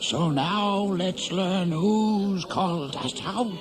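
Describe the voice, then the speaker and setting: Slow, high-voiced old elder. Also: male voice. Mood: solemn. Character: old, bent, dignified male elder addressing assembly. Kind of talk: 1970s radio drama oratory. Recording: very noisy cassette-era radio play.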